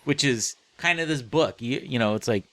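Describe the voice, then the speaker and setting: A man co-hosting a casual book discussion podcast, speaking haltingly and often circling back to rephrase. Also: medium pitch, fairly bright tone, no echo. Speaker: podcast co-host.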